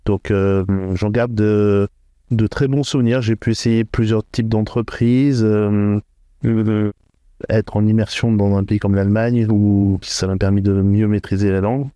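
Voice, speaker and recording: A middle-aged man from northern France answering interview questions, thoughtful and hesitant, with frequent filler pauses. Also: fairly low pitch, steady tone, mellow, clear, resonant tone, no room sound. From France, Hauts-de-France. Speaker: podcast guest, entrepreneur. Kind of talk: interview conversation.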